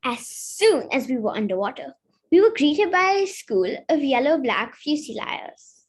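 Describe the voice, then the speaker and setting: A woman with a high-pitched voice reads a picture book aloud for children. Her intonation moves up and down in a lively way. She reads carefully and sometimes stumbles over a word. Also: measured pace. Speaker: story reader.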